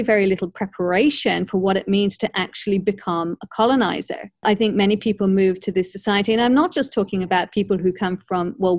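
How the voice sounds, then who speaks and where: A woman who grew up in Ireland, hosting an academic conversation in a thoughtful, measured way with frequent hedges. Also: medium pitch, a little mellow, dry recording, studio quality. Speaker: podcast host.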